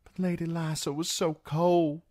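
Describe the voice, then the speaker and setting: A man voicing a broken, blinded young singer in an audiobook, pleading mournfully in a cracked, halting whisper of a voice. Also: on the high side, level tone, a little mellow, pitched above the performer's own voice, lightly acted.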